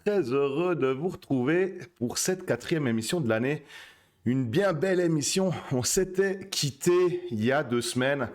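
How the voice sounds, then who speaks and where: A man's voice: a little high, animated, normal speed, darkish tone. A man hosting a casual poker live stream, talking with many fillers and restarts. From Switzerland.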